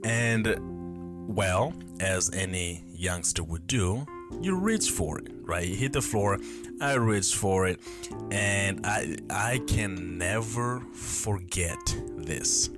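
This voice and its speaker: Man in his twenties, low voice. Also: animated, slow pace, music in the background. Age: 20s. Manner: rambling, self-correcting, thinking aloud. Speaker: podcast host. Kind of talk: casual solo storytelling. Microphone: camera mic.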